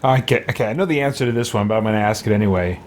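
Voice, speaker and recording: A man's voice: lowish voice, racing, slight background noise. A man in his thirties giving casual commentary as he plays a game. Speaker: game streamer. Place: small room with bookshelves.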